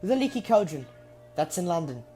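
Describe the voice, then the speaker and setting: Woman voicing polite wary teen wizard boy, kinda hesitant, lively pitch, faint music behind. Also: normal speed, husky. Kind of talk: audio drama character acting. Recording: music under the voice.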